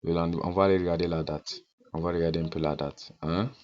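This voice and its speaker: A very low-voiced man talks viewers through his account in a casual, persuasive tone. He hammers his points home by repeating them. The recording is dull and lo-fi. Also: fairly even intonation, quick pace, a little mellow. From Ghana. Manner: repeats phrases insistently, persuasive. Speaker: network-marketing promoter. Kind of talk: casual screen walkthrough.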